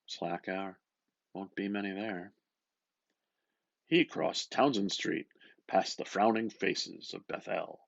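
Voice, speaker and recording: A man giving an expressive, theatrical reading of literary prose, lingering over his phrases in a slightly muffled recording. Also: low voice, slow pace, gravelly, pauses often, no room sound. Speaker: reader, artist. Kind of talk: dramatic literary reading aloud.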